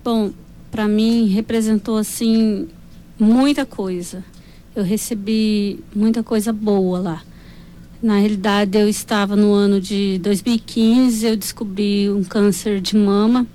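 A woman from Brazil giving a quiet, composed personal testimony. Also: medium pitch, steady tone, slow.

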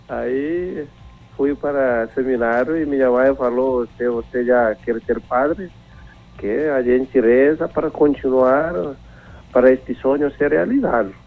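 A man. He talks slowly and haltingly, his voice gravelly with fry. He comes through a narrow, tinny phone line. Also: medium pitch. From Timor-Leste. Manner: halting, searching for words, chuckling. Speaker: priest and phone-in guest. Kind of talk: phone interview.

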